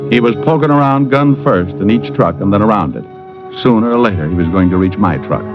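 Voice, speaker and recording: An actor playing a wry, deadpan American private detective who narrates in vintage radio style. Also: male voice, on the low side, a little fast. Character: wisecracking hardboiled male private detective. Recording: dull vintage radio recording with music.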